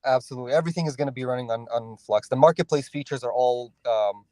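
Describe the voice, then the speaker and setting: A man walks through what his team is building, stopping and restarting often with fillers. His voice sits at a middle pitch, and the sound is dry and close. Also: warm tone, no echo. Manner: halting, many fillers and restarts. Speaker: guest developer. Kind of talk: casual conversational interview.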